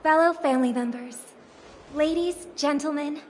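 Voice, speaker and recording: A woman's voice: high voice, a little hiss or hum, natural voice. An actress voicing a graceful female star giving a formal welcome speech in a game. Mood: gracious. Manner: slow, gracious, formal.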